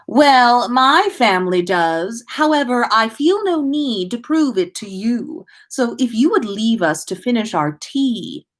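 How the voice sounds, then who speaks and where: A woman voicing a prim, pompous teenage aristocratic boy in a story reading, with formal, haughty, measured delivery. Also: a little high, expressive, voice pushed up. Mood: haughty dismissal.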